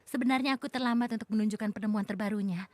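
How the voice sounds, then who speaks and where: Seorang wanita menyulihsuarakan perempuan muda yang percaya diri dan ramah dalam kartun. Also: female voice, mid-range voice, very fast, natural voice. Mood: friendly. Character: confident, friendly young woman, scientist's daughter. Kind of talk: cartoon dub. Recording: loud music behind.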